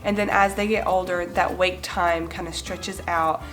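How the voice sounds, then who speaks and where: A young woman in her twenties with a cold, a little raspy and nasal, chatting to camera about her babies in a casual home vlog, gesturing as she talks. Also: on the low side, steady tone, moderate pace, a bit bright, poor audio. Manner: chatty, gestures with hands while explaining. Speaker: mom vlogger. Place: bright living room indoors.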